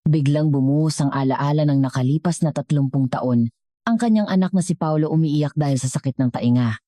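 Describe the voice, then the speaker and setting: Filipino woman, very deep voice, mellow tone, dramatic story narration. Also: fairly quick, dry recording, clean studio sound.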